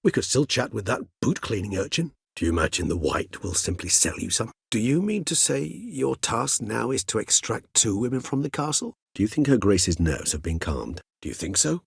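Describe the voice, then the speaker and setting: Husky, erudite ancient vampire gentleman. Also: fairly low pitch, big pitch swings, no room sound, studio quality, heavily acted. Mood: thoughtful, courteous. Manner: eloquent, formal, wryly measured, precise. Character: urbane, erudite ancient male vampire, courteous and loyal. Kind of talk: video game character acting.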